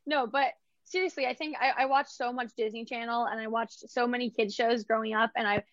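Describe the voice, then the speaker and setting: A bright, higher-pitched woman from Vancouver talks about her acting work in a chatty, upbeat way, full of "like" and quick restarts. Her voice comes across dry and a little dull, as if on a lo-fi recording. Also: fairly even intonation, no room sound. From Canada, Vancouver. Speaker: actress being interviewed. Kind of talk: casual interview answers.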